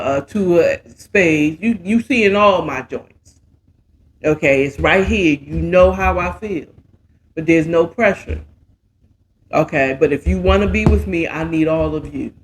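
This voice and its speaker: An American man hosting a show, casually retelling a romance story with dramatic, sassy asides and drawn-out exclamations. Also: fairly high pitch, a little hiss or hum. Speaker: host of a story recap show.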